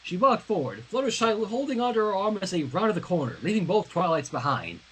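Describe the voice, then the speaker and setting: Someone reading fan fiction aloud as a livestream host, narrating in an animated, dramatic way. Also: medium pitch, fairly quick, breathy edge.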